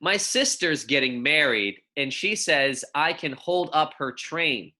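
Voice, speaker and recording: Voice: mid-range voice, lively intonation, measured pace, bright, forceful tone. A teacher giving a read-aloud lesson for young children in a friendly, informal, encouraging way. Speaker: elementary school teacher.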